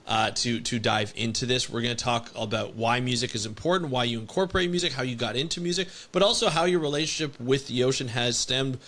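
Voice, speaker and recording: A man's voice: medium pitch, fast talker, few pauses, fairly bright tone. A man from Canada hosting an ocean podcast, introducing the episode and his guest with upbeat enthusiasm. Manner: upbeat and enthusiastic, with some repeated words. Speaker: podcast host. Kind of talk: scripted podcast intro and interview.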